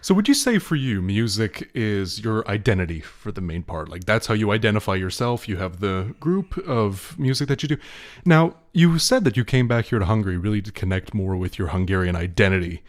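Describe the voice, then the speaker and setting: A man's voice: fairly low pitch, expressive, a little fast. A man hosting an interview in his own radio studio, welcoming his guest and asking long questions. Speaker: radio show host.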